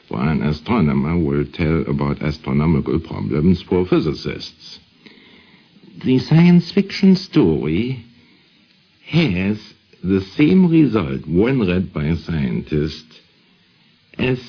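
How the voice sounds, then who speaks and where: A man giving deliberate, didactic explanatory commentary as an expert guest on vintage radio. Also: low voice, slightly rough. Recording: dull vintage radio recording.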